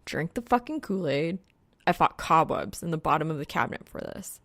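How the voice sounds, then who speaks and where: A woman's voice: low voice, animated, moderate pace, a bit bright, dry recording. A woman voicing a young male gamer in an audiobook, a blunt, grumpy and casual slacker. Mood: exasperated. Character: Soul, young male gamer, scowling, food-obsessed slacker. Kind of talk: acted audiobook dialogue.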